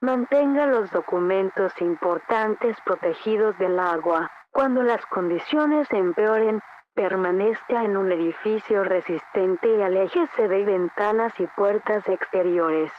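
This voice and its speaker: A woman reading a scripted emergency alert as an announcer, formal and measured. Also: mid-range voice, lo-fi sound. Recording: band-limited, noisy radio-style alert audio.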